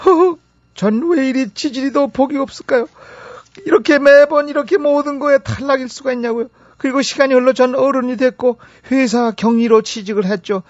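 남자가 연기하는 높은 목소리의 억울한 여직원. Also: male voice, very high-pitched, fairly even intonation, brisk, hardly any pauses, no echo, dull audio. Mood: plaintive. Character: hapless, self-pitying female office clerk telling her story. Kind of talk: dramatized radio listener story.

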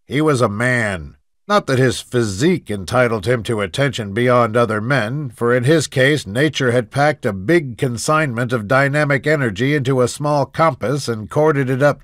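Picture a frontier lawman of the Old West, acted out by a man, telling his memories in a dramatic reading. He is plainspoken and deliberate, with a literary turn of phrase. The voice sits at a medium pitch in a very dry recording. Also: expressive, dry recording, natural voice. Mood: admiring. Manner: measured, deliberate, literary storytelling. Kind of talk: dramatic reading of printed quotes.

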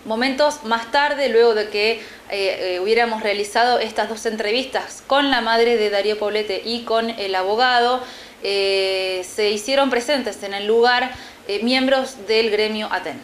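A woman presenting local news from a small studio in a steady scripted delivery. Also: on the high side, unhurried, a little hiss or hum. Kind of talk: news reading.

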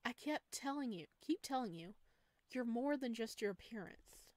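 An earnest visual novel heroine, acted out by a woman. Her voice lifts higher than an everyday one, heartfelt and emphatic, caring and bold. The recording is dry. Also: lively intonation, moderate pace, a little mellow, no echo, lightly acted. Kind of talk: visual novel character reading.

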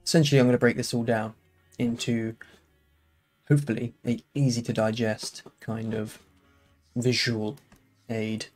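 A man with a slightly croaky voice, explaining game engine settings in a relaxed, unscripted screen-recorded tutorial at his computer. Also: medium pitch, moderate pace, long pauses, faint music behind.

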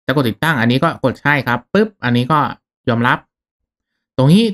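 A man hosting a casual software tutorial, talking through each step in a polite, instructional manner. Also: mid-range voice, expressive, fairly quick.

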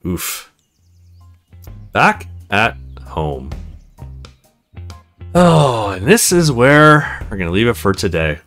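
A man giving casual let's play commentary as host, talking in a halting, conversational way. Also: lowish voice, sing-song, fairly bright tone, soft background music. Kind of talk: casual let's play commentary, reading game text aloud.

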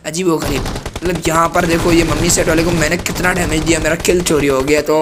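A hoarse young man with a cold from Pakistan, giving chatty, playfully indignant commentary over his own gameplay as a gaming channel host. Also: a little high, little pitch movement, fairly quick, background noise.

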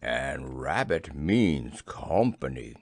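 A man's voice: rumbling low, big pitch swings, slow pace, gravelly, no echo, voice pushed down, full character voice. A man voicing a simple, friendly toy bear in a storybook, ponderous and earnest. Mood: pleased, musing.

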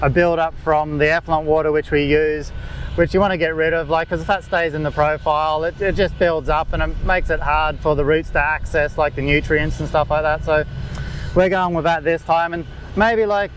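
A man, explaining golf green work in a relaxed way with many fillers, recorded outdoors on a course. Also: fairly high pitch, normal speed, a little hiss or hum, low-quality recording. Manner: laid-back, lots of "like" fillers. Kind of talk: casual explanatory interview.

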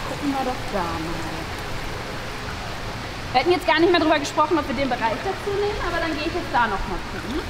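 Young female vlogger, high voice, thinking aloud casually amid loud water noise. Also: very animated. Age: young adult. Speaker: vlog participant, seeker in a game. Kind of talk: casual running commentary while walking. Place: large indoor swimming pool hall. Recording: very noisy pool hall, rushing water. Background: loud rushing water.

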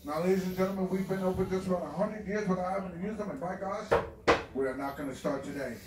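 A man's voice: high voice, fast talker, heavy background noise. A man giving a boat tour with corny jokes and theatrical announcements, heard through a distant camera. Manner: corny jokes, theatrical "ladies and gentlemen" delivery. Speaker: glass bottom boat tour guide. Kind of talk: joking tour guide patter. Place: open boat on a spring, with tourists. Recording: roomy, from far off, loud music behind.

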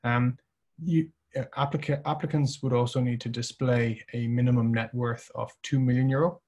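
A man's voice: mid-range voice, level tone, darkish tone. Man presenting over a video call. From Ireland. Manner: measured, with many fillers and restarts. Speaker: company chief presenting at a webinar. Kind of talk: webinar presentation.

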